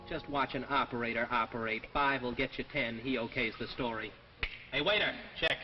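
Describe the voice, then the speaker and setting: An actor playing a cocky, scheming young writer who talks in pushy slang in a 1950s drama. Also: male voice, medium pitch, normal speed, close to an everyday voice. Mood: irritated, scheming. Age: young adult. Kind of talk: 1950s television drama acting. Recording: muffled 1950s broadcast, music behind.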